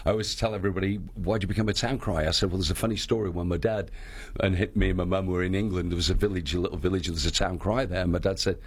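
Husky deep-voiced man, chatty. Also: steady tone, racing. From Australia. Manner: chatty, laughs easily, acts out quoted voices. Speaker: town crier guest. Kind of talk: casual interview chat.

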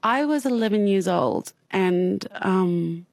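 A woman's voice: mid-range voice, animated, slow, vocal fry, a little mellow, no room sound. An Australian woman in her thirties tells her story openly, laughing often and stumbling over her words. Her voice sits mid-range. It is recorded dry and close, with no room sound. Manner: candid, laughs often, many restarts. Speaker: interview guest. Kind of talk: personal interview.

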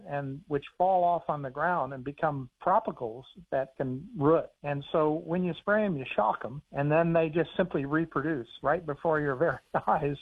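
American man, phone guest on radio, easygoing explainer, slightly high voice, thin phone-line sound. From United States. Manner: easygoing, explanatory, chuckles now and then. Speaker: author and conservation biologist on the phone.

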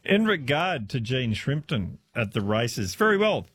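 A man's voice: medium pitch, very animated, measured pace, a bit bright, husky. A man with an Australian accent hosting a talkback radio show, chatting and reading out listener messages. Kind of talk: casual talkback radio chat.

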